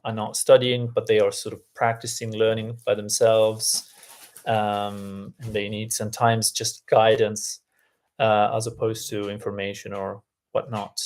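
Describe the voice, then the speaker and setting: Slow-talking Italian man, native speaker. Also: fairly low pitch, flat delivery, a little mellow. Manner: unhurried, many ums and restarts, friendly. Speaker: Italian language coach. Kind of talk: live-stream lesson, reading chat aloud.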